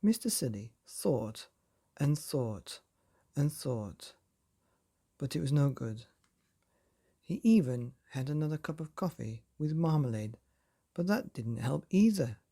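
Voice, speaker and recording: A man narrating a children's bedtime storybook in a playful, expressive way, often putting questions to the listener. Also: fairly high pitch, slow pace, pauses often.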